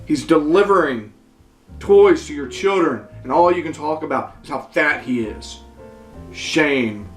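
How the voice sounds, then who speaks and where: Mock-serious lecturing poetry reader, mid-pitched, indignant comic asides, roomy sound over soft music. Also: normal speed. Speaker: performer in a dramatic poem reading. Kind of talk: poem recital with comic commentary. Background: jazzy Christmas music.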